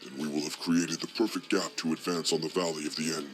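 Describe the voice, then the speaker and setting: A man voicing a scheming demon warlord in a game cutscene, speaking in a smug, slow, menacing manner. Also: rumbling low, steady tone, fairly bright tone, soft background music. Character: scheming male demon warlord, cold and commanding. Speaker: villain leader.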